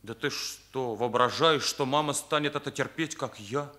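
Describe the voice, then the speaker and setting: A man plays an earnest, morally rigid teenage boy with a bright, forceful edge to his voice. He sits at a middle pitch and speaks emphatically, in the manner of Soviet radio drama. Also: normal speed, close to an everyday voice. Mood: bitter, accusing. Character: earnest, indignant teenage son confronting his parents. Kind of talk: Soviet radio play acting.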